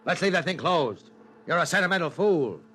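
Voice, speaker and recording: An actor playing a cold, ruthless executive in a 1950s radio drama, curt and domineering. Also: male voice, a little high, animated, moderate pace, pushed and bright, slightly rough, exaggerated voice. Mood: scornful.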